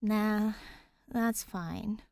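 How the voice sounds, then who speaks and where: A woman's voice: medium pitch, steady tone, very slow, creaky, a little mellow, no echo, voice pushed up. A woman voicing a stubborn, grumpy young girl with a bitter, hot-tempered manner in a fan fiction audiobook. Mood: grudging.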